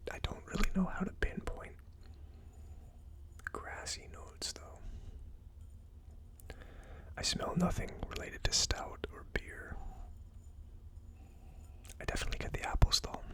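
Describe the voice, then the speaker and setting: A person whispering in an ASMR style as host of a tasting video, with a tentative, musing delivery. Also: sharp tone. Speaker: host of a whiskey tasting series.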